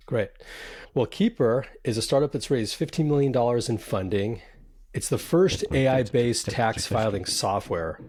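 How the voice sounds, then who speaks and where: A man hosting a startup podcast, asking his guest questions in a measured, friendly way. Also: medium pitch, darkish tone. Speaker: podcast host. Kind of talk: podcast interview.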